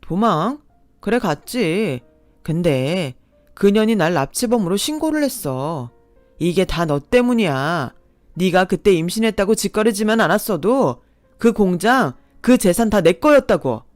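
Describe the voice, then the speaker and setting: A sleazy young man who drags out his taunts in a slow, sneering drawl, as a woman puts him on. The voice is close and dry, with faint music under it. It reads as a storybook villain. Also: on the low side, animated, no echo. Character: sleazy scheming young man, mocking ex-lover.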